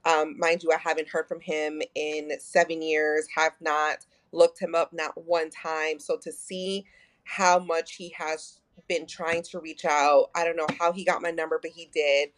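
A woman's voice: deep voice, unhurried. A woman in her thirties with a Texan accent, talking openly as a podcast guest, full of fillers and acted-out quotes. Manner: open, animated, many fillers, acts out quotes. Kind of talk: candid conversational storytelling.

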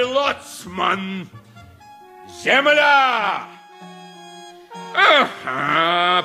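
A menacing, drunken rogue of a sea captain in a radio drama. The delivery is slow and wildly swooping, in a raspy, forceful voice with theatrical relish. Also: male voice, sits high, full character voice. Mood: hailing, sly. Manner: theatrical, swooping, talks to his vodka. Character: boozy, roguish, menacing old-style sea captain. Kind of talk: 1980s radio play acting.

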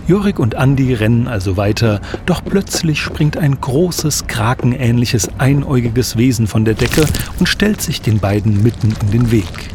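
A man's voice: medium pitch, animated, normal speed, few pauses, noisy background. A man reading scripted narration for an audio play. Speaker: narrator and sound designer.

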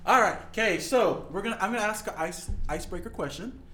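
A man hosting a casual podcast chat, upbeat and quick to laugh. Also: high voice, a little hiss or hum. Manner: upbeat, laughs often, lively emphasis. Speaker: podcast host. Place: indoor recording space.

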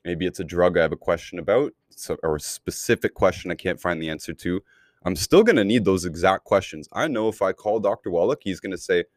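A man's voice: deep voice, dry recording, clean studio sound. A man speaking as a sales mentor in an informal training talk, with an instructive, conversational and emphatic way of explaining.

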